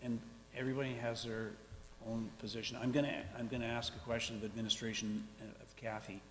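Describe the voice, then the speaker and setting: A man chairing a council discussion, measured and procedural, careful when reading out figures. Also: mid-range voice, little pitch movement, a bit bright. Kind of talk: formal meeting chairing. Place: council chamber. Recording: reverberant chamber with faint hum.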